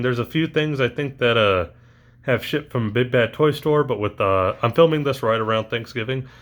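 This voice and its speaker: A man's voice: mid-range voice, a bit bright. A man talking casually through a toy unboxing, rambling with lots of ums and uhs, his voice recently back after allergies.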